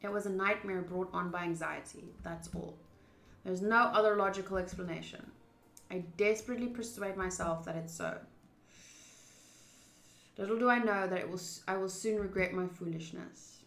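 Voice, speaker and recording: A woman's voice: lowish voice, moderate pace, gravelly, fairly bright tone. A young woman streamer reading a visual novel aloud and chatting informally and expressively with viewers. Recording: roomy echo.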